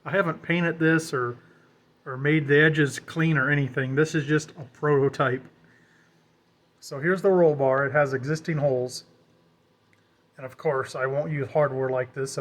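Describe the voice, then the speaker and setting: A man's voice: medium pitch, a bit bright. An American man talking casually and off the cuff as he shows a homemade project, in a folksy, self-deprecating way. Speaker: DIY vlogger.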